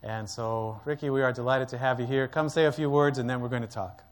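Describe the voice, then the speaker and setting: A man's voice: medium pitch, steady tone, fairly quick. A man acting as moderator, courteously introducing a guest speaker at a church event with small hesitations. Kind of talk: formal introduction.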